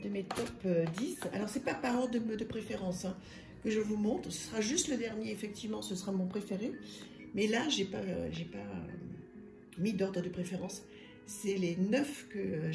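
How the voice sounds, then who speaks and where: A woman with a slightly hoarse, gravelly voice talks through her card decks, pausing often on little fillers. Her voice rings in an echoey room. Music plays clearly underneath. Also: medium pitch. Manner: soft, hesitant, many fillers. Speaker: tarot and oracle channel host. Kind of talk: casual card deck review.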